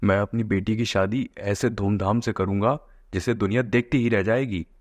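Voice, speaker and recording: A man's voice: lowish voice, fairly even intonation, normal speed, dry recording, lightly acted. A man acting a haughty, status-proud rich brother. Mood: boastful. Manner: haughty, scolding. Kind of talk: Hindi moral-story cartoon dialogue.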